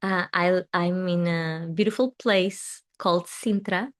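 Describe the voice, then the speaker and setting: A woman with a Portuguese accent speaks English, her second language, in a fairly low voice. She hosts a live tarot and meditation stream, answering comments in a gentle, soothing way, and her sentences often trail off softly. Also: fairly even intonation, a little slow. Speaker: tarot reader and meditation host.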